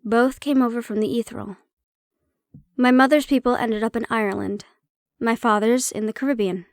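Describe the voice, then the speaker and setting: A woman's voice: a little high, steady tone, moderate pace, dry recording, clean studio sound, close to an everyday voice. A woman playing a prickly, guarded banshee-siren hybrid in an intimate audio roleplay, explaining herself conversationally with some teasing. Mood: candid, wry.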